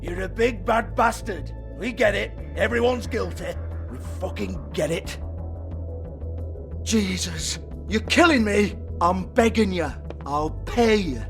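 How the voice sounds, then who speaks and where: Shifty British club boss for a game: high-pitched, sneering, foul-mouthed, taunting. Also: male voice, lively intonation, takes it slowly, gravelly, music in the background, heavily acted. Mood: exasperated. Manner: sarcastic, sweary, taunting. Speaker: game character, shady club owner. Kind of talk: video game voice acting.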